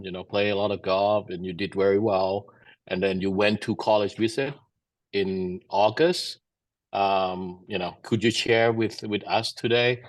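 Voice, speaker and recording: A man's voice: lowish voice, no room sound. A man acting as a college recruiting consultant, speaking in a rambling way with many fillers on a webinar. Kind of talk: webinar discussion. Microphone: video call.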